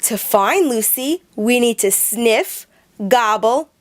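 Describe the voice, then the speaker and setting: A woman's voice: fairly high pitch, animated, takes it slowly. A woman reading a storybook, voicing an eager, anxious boy who calls out to his friends. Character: excitable boy dog owner, anxious.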